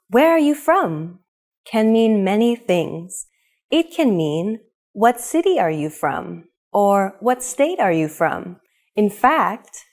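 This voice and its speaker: A woman presenting a scripted English lesson, clearly and brightly modeling example phrases for learners. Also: medium pitch. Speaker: English teacher.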